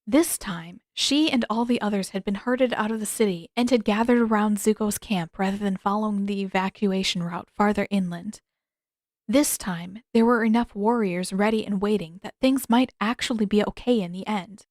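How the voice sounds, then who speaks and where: A woman narrates fiction in a scripted audiobook style. Her voice sits at an average pitch for a woman. The sound is dry, with very little room to it. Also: moderate pace, darkish tone, no echo.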